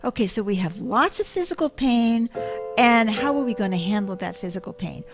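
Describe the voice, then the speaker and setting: A woman's voice: a little high, animated, normal speed. A woman in her seventies hosting a show, talking to viewers in a rambling, self-mocking way full of joking asides. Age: 70s. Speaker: host. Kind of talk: casual monologue to viewers. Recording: loud music playing behind.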